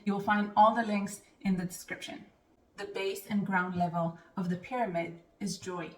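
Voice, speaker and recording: A woman, a Hebrew speaker teaching Hebrew to camera in an earnest, encouraging, deliberate way. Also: mid-range voice. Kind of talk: direct-to-camera language lesson. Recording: roomy sound from a distance.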